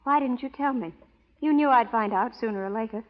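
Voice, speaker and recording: An actress playing a strong-willed, proper young frontier schoolteacher in an earnest old radio melodrama style. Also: female voice, fairly high pitch, brisk, natural voice. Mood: horrified, accusing. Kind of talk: 1930s radio melodrama acting. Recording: dull old radio broadcast recording.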